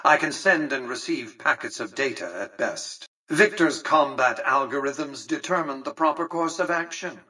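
An actor playing an imperious, calculating old industrialist in a video game, speaking in a measured, condescending way with polished, precise diction. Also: male voice, fairly high pitch, bright, forceful tone, husky, recorded from a distance, poor audio. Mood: calculating, clipped. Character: imperious, calculating old industrialist who rules a casino empire. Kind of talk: scripted video game dialogue.

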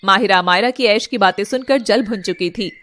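A woman's voice: mid-range voice, fairly quick, a bit bright, a little hiss or hum. A woman narrator reading a scripted story in a dramatic storytelling style.

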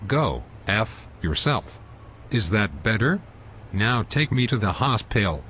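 A man voicing a rude, scruffy homeless man in an amateur animated comedy, with slow, sulky, insulting speech. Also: fairly low pitch, slight background noise, heavily acted. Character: rude scruffy homeless man who lies about everything.

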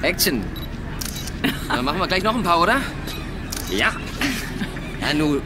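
An actor playing a pushy, excitable male passenger, breezy and slangy, in a naturalistic radio drama. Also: a little high, unhurried, background noise. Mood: chummy, bossy. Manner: breezy, slangy, over-familiar. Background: loud music, outdoor ship ambience.

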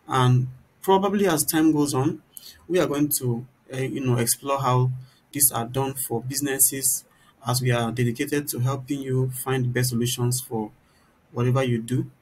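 A man giving an informal, explanatory software tutorial, often turning statements into little rhetorical questions. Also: medium pitch, steady tone, normal speed, poor audio. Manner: explanatory, poses rhetorical "what?" questions mid-sentence. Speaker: tutorial presenter. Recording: clear background noise.

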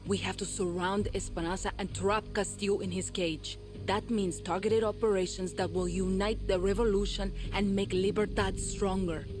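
A woman voicing a tough rebel leader in a video game who gives orders in a brisk, commanding tone. Her voice sits at an ordinary pitch, and a loud score plays under her. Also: close to an everyday voice. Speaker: guerrilla leader. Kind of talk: video game cutscene acting.

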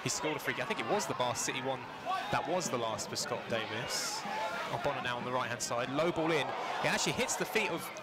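A man giving live football commentary from a stadium stand, conversational and halting, with plenty of fillers. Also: mid-range voice, animated. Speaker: match commentator. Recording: loud crowd and outdoor noise.

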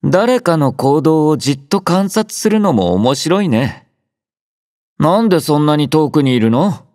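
An actor playing a laid-back young male army doctor in a game, speaking in a relaxed, drowsy, gently teasing way. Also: on the high side, unhurried, dry recording, clean studio sound. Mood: easygoing teasing. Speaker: game character voice lines. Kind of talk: game voice collection. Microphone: close studio mic.

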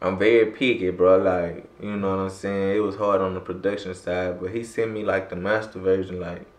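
A young man from Louisiana, a rapper talking casually in an interview, rambling and heavy on slang with frequent throat clearing. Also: low-pitched, flat delivery, a little fast. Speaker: rapper guest. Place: small room, seated in armchair. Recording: echoey room.

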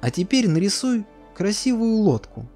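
A man's voice: sits high, moderate pace, soft background music, pitched above the performer's own voice. A man voicing a greedy, hot-tempered king who barks orders in a cartoon fairy tale. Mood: commanding. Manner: barking orders, raised and excited.

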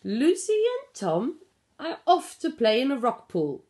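Pre-school storyteller, female, middle-aged: higher-pitched, very varied intonation, expressive picture-book reading for small children. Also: unhurried. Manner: expressive, explanatory, addressing small children with simple questions. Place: small living room at home. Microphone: phone or tablet at about arm's length.

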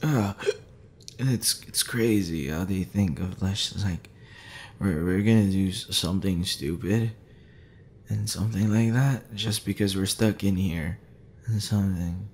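A male actor performs a drunk young man in an intimate audio roleplay, tender and flustered around his best friend. His low voice is full of creaky fry and he stammers through halting, tipsy rambles. Faint noise sits behind him. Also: darkish tone.

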